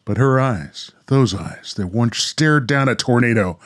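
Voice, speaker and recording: A man's voice: medium pitch, expressive, a little slow. An American man hosting a podcast, chatting casually and narrating. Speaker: podcast host.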